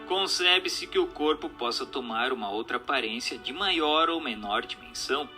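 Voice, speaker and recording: A man with a Brazilian accent reading a scripted text as narrator, in a formal, didactic, measured manner. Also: mid-range voice, lively intonation, bright, forceful tone. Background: music.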